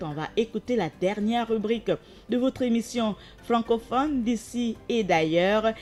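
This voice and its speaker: A woman hosting a radio program, presenting segments live in a relaxed, guiding way. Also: mid-range voice. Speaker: radio show host.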